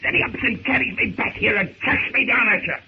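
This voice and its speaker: An actor playing a terrified, agitated pirate sailor with rough speech in a 1930s radio serial. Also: male voice, very high-pitched, a little fast. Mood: shaken. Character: terrified, shaken pirate sailor, adult man. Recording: muffled, noisy old radio recording.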